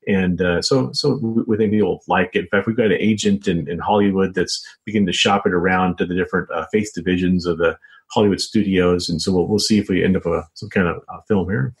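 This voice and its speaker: An American man speaking as an author being interviewed, answering casually and haltingly with lots of hedging filler phrases. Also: deep voice, fairly even intonation, poor audio. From United States. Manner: halting, frequent hedging with "you know" and "sort of". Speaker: author and journalist, interview guest. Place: home office set up as a small studio.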